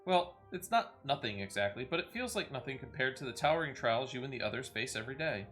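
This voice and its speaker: A man's voice: mid-range voice, animated, quick pace, a bit bright, soft background music, lightly acted. Man voicing an earnest, humble little receptionist woman. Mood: bashful. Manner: formal, deferential fantasy phrasing. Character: earnest, humble small female receptionist, polite and eager.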